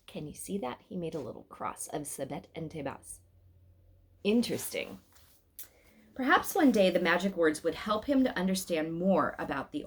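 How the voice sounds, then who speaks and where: Animated woman reading a story aloud. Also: on the low side. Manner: animated, addresses listeners with asides. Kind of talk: expressive informal read-aloud narration.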